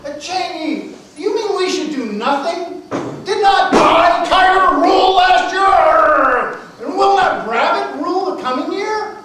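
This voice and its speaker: A woman's voice: extremely high pitch, expressive, measured pace, crowd noise. A woman playing a proud, competitive, easily puzzled tiger in an amateur puppet show, speaking in a theatrical manner. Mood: puzzled, indignant. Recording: echoey noisy room, far from mic.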